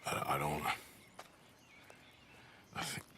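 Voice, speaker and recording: A dying, gruff outlaw. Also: male voice, bright, forceful tone. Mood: urgent. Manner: grave, halting, tender. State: ill. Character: weary dying male outlaw, gruff and loyal. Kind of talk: video game cutscene.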